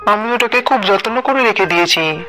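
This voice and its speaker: A man's voice: high-pitched, moderate pace, sharp tone, soft background music. A man voicing an earnest, firm and caring father creature in a cartoon story. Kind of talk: dramatized cartoon story acting.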